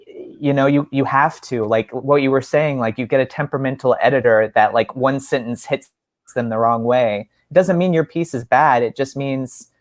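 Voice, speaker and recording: A woman's voice: a bass voice, normal speed, dry recording. A woman hosting a casual podcast chat, effusive and encouraging, often repeating herself for emphasis. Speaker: podcast host and story selector. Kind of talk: casual conversational praise and commentary.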